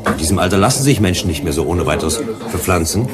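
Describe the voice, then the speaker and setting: A man's voice: low voice, animated, quick pace, a loud crowd. An actor playing a suave, probing male visitor who teases and flirts in a German science-fiction radio drama. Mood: probing, flirtatious. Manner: teasing, questioning, ironic.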